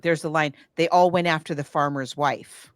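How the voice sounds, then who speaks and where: A woman chatting casually about books and films, animated and halting as she searches for details while recounting. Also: deep voice, no echo, clean studio sound. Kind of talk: casual book and film discussion.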